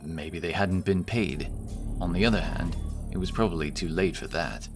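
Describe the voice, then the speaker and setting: A man's voice: low voice, normal speed. A man reading dramatic scripted fantasy narration as an audiobook storyteller. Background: music.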